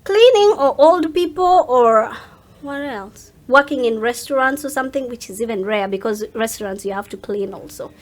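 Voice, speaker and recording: A woman's voice: on the high side, animated, normal speed. A woman with a Ugandan accent, talking in an outspoken, emphatic way as a guest in a casual interview. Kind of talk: animated casual interview talk.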